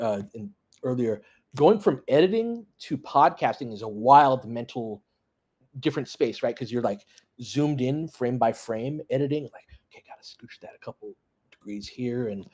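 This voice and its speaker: Husky guy calling a hoops game on a stream, casual, short bursts, chatting w listeners. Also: medium pitch, darkish tone. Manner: conversational, off-the-cuff, talking in short clipped bursts. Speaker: sports livestream host doing fan play-by-play. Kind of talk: casual running basketball commentary, chatting with listeners.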